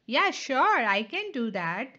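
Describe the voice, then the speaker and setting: A woman's voice: high-pitched, big pitch swings, slow, pushed and bright, muffled recording, exaggerated voice. A woman voicing a storybook listener in an everyday way, polite, apologetic and firm. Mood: agreeable. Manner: hesitant openers, firm and apologetic. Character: polite but firm listener refusing the pigeon.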